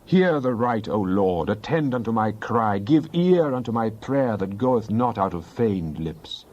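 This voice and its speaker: Man as devout male psalmist, earnest, deliberate, solemn declamation, dramatized Bible reading, slightly muffled lo-fi sound. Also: lowish voice, expressive, a little mellow. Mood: pleading, prayerful. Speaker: scripture reader.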